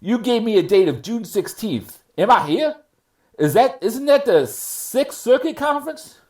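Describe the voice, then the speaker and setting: A man playing a stern but fair judge in a readers theater courtroom, speaking formally and admonishing in a measured way. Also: very high voice, expressive, a little mellow. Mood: questioning.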